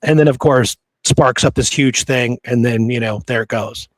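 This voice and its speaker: A man's voice: medium pitch, moderate pace, no room sound, rough recording. A man co-hosting a history podcast, telling old stories in a casual, colloquial way. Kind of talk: casual conversational history storytelling.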